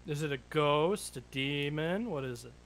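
A man's voice: a little high, moderate pace, a bit bright. A man reacting to a video with friends in a casual, joking chat.

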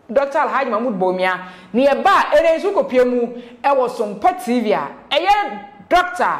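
Echoey, higher-voiced young Ghanaian woman presenter. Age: young adult. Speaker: gossip show host. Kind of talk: presenting gossip news commentary. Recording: roomy indoor echo.

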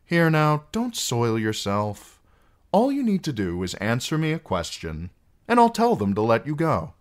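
A man's voice: mid-range voice, wildly swooping pitch, a little slow, voice pushed up. A man voicing a wry outlaw singer in an audiobook, teasing and falsely kind, with a lilting delivery. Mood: falsely soothing. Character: wry, mocking outlaw singer, smoothly cruel adult man. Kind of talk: audiobook character acting.

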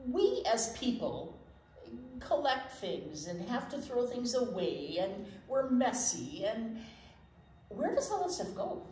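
An older woman co-hosting a children's storytime, chatting and reading aloud in simple, expressive, emphatic phrases. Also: fairly high pitch, takes it slowly. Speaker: teacher, children's storytime co-host. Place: indoor library storytime set, seated. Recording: roomy echo, faint background noise.

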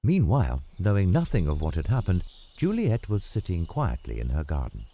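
A man narrating a scripted story with dramatic, deliberate enunciation. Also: low-pitched, wildly swooping pitch, mellow.

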